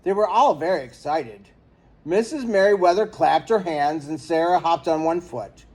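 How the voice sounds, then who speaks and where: A man's voice: a little high, normal speed, a little mellow, husky. A man reading a children's picture book aloud as a storyteller.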